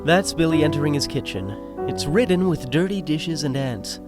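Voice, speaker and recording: A man's voice: fairly high pitch, moderate pace, loud music behind the voice, lightly acted. A man playing the wry, dramatic narrator of a scripted audio drama.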